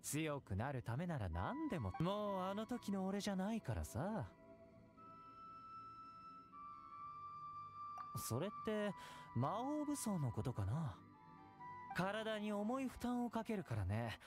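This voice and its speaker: A man playing a confident, easygoing young warrior and caring elder brother in an anime game, calm and teasing. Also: high-pitched, a little slow, over music, exaggerated voice. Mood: light, teasing. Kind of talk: anime video game acting.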